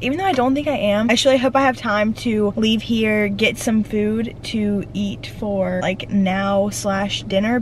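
A woman's voice: mid-range voice, darkish tone, slight background noise. A young woman in casual chat to her phone camera, rambling and laughing at herself as a vlogger and fitness coach. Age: young adult. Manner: chatty, rambling, self-laughing. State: hoarse, congested. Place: inside a parked car. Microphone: phone camera at arm's length.

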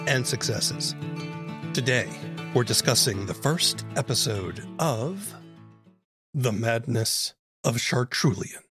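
A man's voice: medium pitch, animated, slow, a bit bright, soft background music. A man hosting an interview podcast, moving between scripted introduction and easy conversation. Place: close, dry recording; remote interview.

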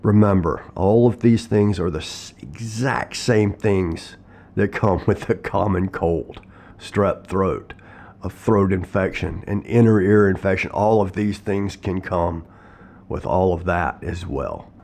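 A middle-aged man with a hoarse throat from a cold, talking casually to camera from his living room, plain-spoken with frequent ums and restarts. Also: sits low, slow, darkish tone. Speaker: vlogger sharing his health story. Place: living room at home, indoors.

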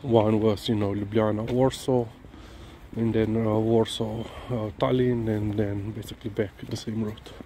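Slow, halting man outdoors. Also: fairly low pitch, flat delivery, warm tone. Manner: halting, many fillers and restarts. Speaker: dog-walking vlogger. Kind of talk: casual walk-and-talk monologue. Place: outdoors in a park on a dog walk. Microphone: camera held low, walking. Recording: outdoor noise behind the voice.

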